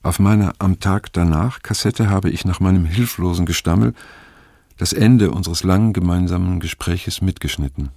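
Gravelly older man, reflective narrator. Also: low voice, normal speed, darkish tone. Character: reflective older male narrator recalling his youth. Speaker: first-person narrator. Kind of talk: audio drama narration.

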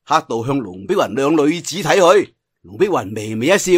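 A man's voice: mid-range voice, very animated, husky, dry recording, studio quality. A man narrating a martial arts tale in theatrical, colloquial Cantonese storytelling style. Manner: theatrical, colloquial telling with drawn-out sentence particles. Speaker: audiobook storyteller.

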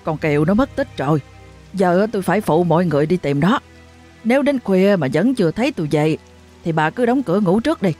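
A woman's voice: on the low side, animated, mellow, soft background music. A woman voicing a jovial middle-aged village man, a kindly neighbor. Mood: worried. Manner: teasing, then worried and hurried.

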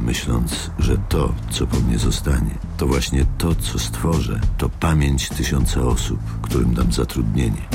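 An actor playing a bitter, menacing middle-aged businessman in a radio drama, with slow, controlled delivery. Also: exaggerated voice. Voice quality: very deep, gravelly. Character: bitter, menacing self-made businessman, a grieving father seeking revenge.

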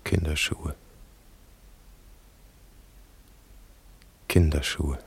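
An actor playing a grieving shoemaker in a radio play, speaking in halting, weighty phrases with a gravelly voice. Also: male voice, very deep voice, a little mellow, no echo, full character voice. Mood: mournful. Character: grieving shoemaker, a man mourning and searching. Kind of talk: slow solemn radio play recitation.

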